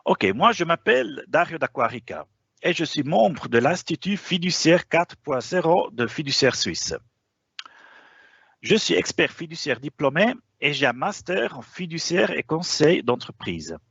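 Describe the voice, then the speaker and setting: A man presenting a professional webinar in a language that is not his first, stopping often on hesitations. His voice rises and falls a lot and carries a gravelly, slightly husky texture. The sound is dry and a bit dull, like a lo-fi online call. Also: a little high, very animated, a little slow, fairly bright tone, dry recording. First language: not French. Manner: hesitant, frequent "euh" fillers.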